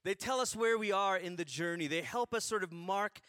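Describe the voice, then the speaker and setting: A man in his forties from Malaysia, preaching a sermon through animated, humorous stories. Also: high-pitched, normal speed, no echo, studio quality.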